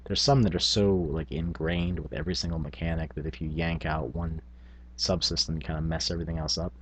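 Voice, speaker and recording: A man's voice: very deep voice, steady tone, a little fast, a little hiss or hum. A man speaking informally to camera as a tabletop gaming vlogger, thinking aloud in casual talk. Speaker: tabletop gaming video blogger.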